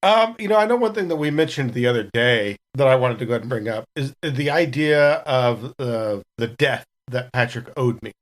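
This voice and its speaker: A man's voice: mid-range voice, moderate pace, few pauses. A man on a podcast, chatting casually and recounting anecdotes as one of the cast. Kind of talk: casual chat, telling anecdotes.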